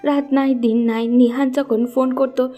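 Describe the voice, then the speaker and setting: A woman narrates a scripted story in a fairly high, very mellow voice. Soft music plays underneath her narration. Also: flat delivery, unhurried.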